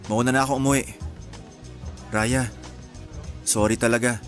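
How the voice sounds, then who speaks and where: Casting: man playing a humble, pleading young Filipino suitor, medium register, romance radio drama with soft music underneath. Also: mid-range voice, fairly even intonation, normal speed, lightly acted. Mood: dejected, apologetic. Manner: earnest, pleading, apologetic. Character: lovestruck, apologetic young male suitor, chef.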